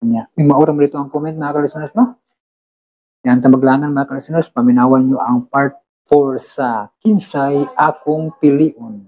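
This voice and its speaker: A man's voice: mid-range voice, steady tone, moderate pace, phone-quality sound. A man hosting an online radio drama channel, chatting casually and informally to his listeners with frequent tag questions. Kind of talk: casual chat, reading and thanking listener comments.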